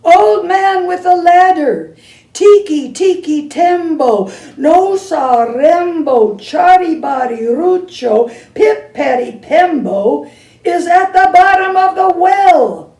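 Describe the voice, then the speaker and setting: Breathless little boy shouting in a panic, voiced by a woman, high, mellow tone, echoey room from a distance. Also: lively intonation, full character voice. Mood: frantic. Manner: high, loud, rushing, out of breath.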